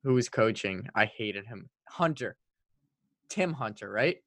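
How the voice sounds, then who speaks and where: A man's voice: on the low side, animated, unhurried, fairly bright tone, no echo. A French-speaking man co-hosting a casual sports podcast, talking in an emphatic, hyperbolic way. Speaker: hockey podcast co-host.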